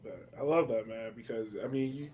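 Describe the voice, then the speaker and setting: A man's voice: medium pitch, fairly quick, a little mellow. A man hosting a podcast interview in a casual, slangy, chatty way. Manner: informal, slangy. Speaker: podcast host interviewing guests.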